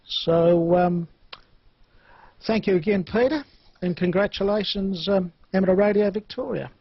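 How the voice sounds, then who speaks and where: A man with a husky voice, giving a casual unscripted check-in as an amateur operator. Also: high-pitched, unhurried, no echo. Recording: dull, dry lo-fi transmission audio.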